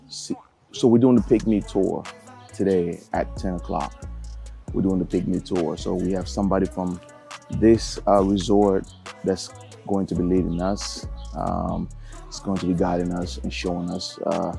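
Young male travel vlogger from Cameroon, very low gravelly voice, easygoing and rambling. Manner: relaxed, rambling, lots of fillers. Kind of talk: casual vlog talk to camera.

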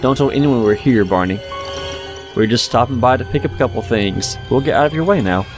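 An actor playing a fatherly man in a video game, speaking softly and reassuringly to a sick child, with a soft storytelling lilt. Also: medium pitch, lively intonation, a little fast, lightly acted. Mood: coaxing. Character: gentle, fatherly man soothing a sick boy. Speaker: game character, kindly stranger. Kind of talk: video game cutscene acting. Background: music.

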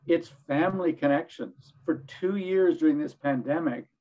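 An older man with a hoarse voice, speaking earnestly as a panelist on a webinar from his home study over a video call. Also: fairly high pitch, moderate pace, darkish tone, dull audio. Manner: earnest, rambling with restarts. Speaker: executive director, panelist.

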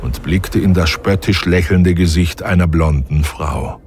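A man narrating a science fiction audio drama in a measured, grave way. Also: low voice, over loud music. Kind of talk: scripted narration.

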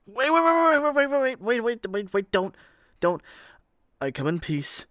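Guy playing a bossy immortal god, unhurried and theatrical, dry close sound, asmr roleplay. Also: extremely high pitch, big pitch swings, fairly bright tone, dry recording, heavily acted. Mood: panicked. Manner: imperious, theatrical, addresses listener as mortal. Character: haughty, impatient male god of magic rooming with a human.

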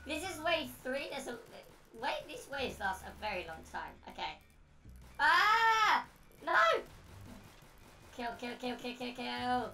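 Female gaming host, high and bright, excitable commentary, echoey distant recording. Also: slow, pauses often. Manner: excitable, prone to shrieking exclamations. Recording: roomy echo, distant mic.

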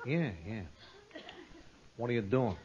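An actor playing a sly racetrack tout with furtive, clipped, slangy patter in 1940s radio comedy, on an old recording. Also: male voice, mid-range voice, slow pace, highs cut off, noisy background, lightly acted. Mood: sly, confidential.

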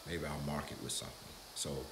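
A man in his fifties giving a relaxed, conversational how-to talk straight to the camera in his home kitchen. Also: very deep voice, fairly quick, creaky. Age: 50s. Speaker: vlogger. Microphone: camera mic from a few feet away. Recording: echoey kitchen with background noise.

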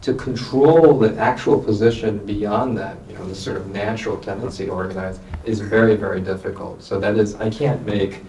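Gravelly, dark-voiced man in an echoey room. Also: lowish voice, steady tone, moderate pace, hardly any pauses, far from the mic, slight background noise. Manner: explanatory, uses analogies. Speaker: panelist scientist. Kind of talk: answering audience questions. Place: lecture room with audience.

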